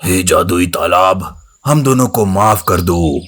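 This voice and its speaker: Man voicing a deep, slow, proud lion king. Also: lively intonation, a bit bright, heavily acted. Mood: humbled. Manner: haughty, commanding, drawn-out delivery. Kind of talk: Hindi animated moral story dialogue.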